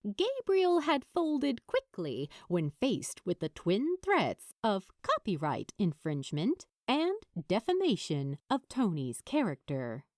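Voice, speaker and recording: A woman reading scripted fiction aloud as a narrator, with an expressive, dramatic delivery. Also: on the low side, dry recording, studio quality.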